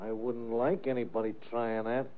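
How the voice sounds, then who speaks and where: A man's voice: medium pitch, little pitch movement, normal speed, natural voice. An actor playing a dim, blunt gangster henchman in a 1950s radio mystery, with slangy, ungrammatical tough-guy talk. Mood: suspicious, agitated. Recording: muffled vintage radio recording.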